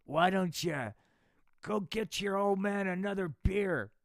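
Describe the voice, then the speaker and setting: Man putting on a loud crude drunk dad, blustering, slow, puppet show. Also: high voice, creaky, no room sound, clean studio sound, pitched above the performer's own voice, full character voice. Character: lazy drunk loudmouth dad, male, middle-aged.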